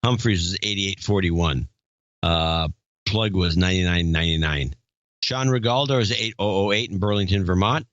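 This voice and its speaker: A man co-hosting a podcast, gruff and offhand as he reads off names. His voice sits low, and the sound is dry and somewhat muffled. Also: moderate pace, fairly bright tone, dry recording. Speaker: podcast co-host. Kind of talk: casual chat, reading donor names.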